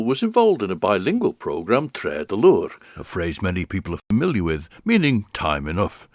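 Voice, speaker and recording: A man's voice: low voice, no echo. An older man from the Isle of Man, speaking with a Manx English accent, narrates a reflective radio documentary as its presenter and producer.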